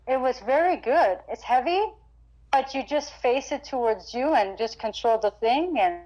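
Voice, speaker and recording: Chatty woman singer, slightly high voice. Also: a little mellow, poor audio. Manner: chatty, frequent restarts and stammers. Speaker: guest singer-guitarist. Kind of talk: casual interview chat.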